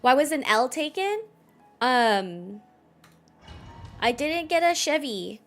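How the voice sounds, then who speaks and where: A woman's voice: high voice, expressive, takes it slowly, fairly bright tone, faint music behind. A woman chatting casually while livestreaming a game, informal and sometimes muttering to herself.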